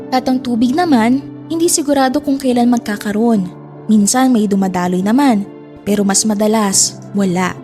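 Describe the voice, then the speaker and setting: A woman reading a scripted first-person dramatic narration in a reflective, sorrowful way, with music underneath. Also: mid-range voice.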